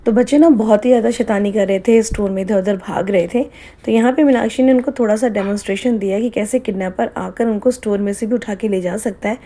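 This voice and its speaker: A woman's voice: medium pitch, fairly even intonation, quick pace, warm tone, slight background noise. A woman narrating a family vlog in a chatty, casual way, slipping English phrases into her Hindi. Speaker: vlogger.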